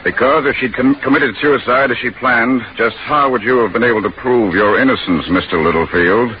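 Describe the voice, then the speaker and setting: An actor playing a stern, quietly pointed official with a formal, measured, insinuating delivery in a 1940s radio drama. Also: male voice, mid-range voice, natural voice. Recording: noisy, dull 1940s radio recording.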